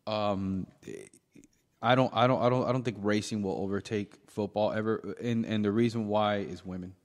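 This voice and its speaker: An American man co-hosting a podcast, giving blunt opinions in casual, halting banter. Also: lowish voice, fairly even intonation. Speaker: podcast co-host. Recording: echoey room with long reverb.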